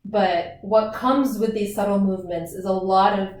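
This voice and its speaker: Female gentle-yoga guide, calm and unhurried delivery, mid-pitched voice, heavily reverberant room sound. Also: a little hiss or hum. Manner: calm, unhurried, soothing breath cues. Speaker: yoga teacher. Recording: very echoey room, distant mic.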